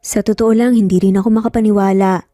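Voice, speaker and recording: A woman's voice: mid-range voice, steady tone, quick pace, warm tone, dry recording, studio quality, faint music behind, lightly acted. A woman voicing an earnest, emphatic young father in a narrated romance story, torn over his child. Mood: earnest, amazed. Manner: earnest, emphatic, code-switching.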